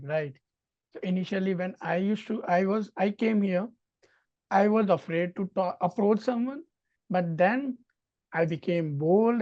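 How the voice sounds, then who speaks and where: An earnest woman giving halting advice on a student panel, often checking in with "right?". Her voice comes through dull, lo-fi video call audio. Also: lowish voice.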